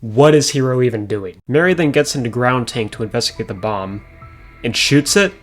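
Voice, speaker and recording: A man with a hoarse voice recapping episodes of an old show as a review host, with dry, sarcastic asides. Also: mid-range voice, moderate pace, faint music behind. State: hoarse after a concert.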